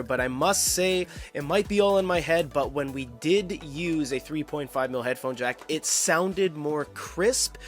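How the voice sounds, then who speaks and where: Higher-voiced man reviewing tech, music behind. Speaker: product reviewer. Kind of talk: casual tech review narration. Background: background music.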